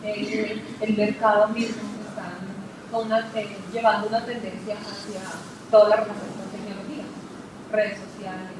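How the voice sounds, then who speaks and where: A woman's voice: mid-range voice, little pitch movement, moderate pace. Distant echoey recording, female audience member, unscripted answer, background noise. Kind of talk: answering a speaker's question. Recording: echoey hall, far from mic, noisy.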